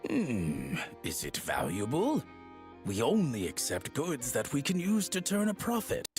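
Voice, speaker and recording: A man's voice: mid-range voice, huge pitch swings, unhurried, a little mellow, soft background music. A man voicing a polite, businesslike shopkeeper in a video game, formal and courteous.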